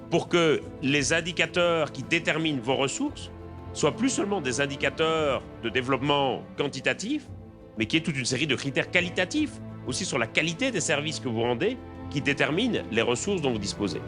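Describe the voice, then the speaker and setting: Persuasive man, slightly high voice, over music. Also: lively intonation, brisk. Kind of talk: interview commentary in a documentary film.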